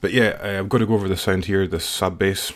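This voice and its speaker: Male music producer, low register, informal and slightly hesitant, talking through a screen-recorded software tutorial. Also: fairly even intonation, fast talker. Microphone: voice-over recorded while working at computer.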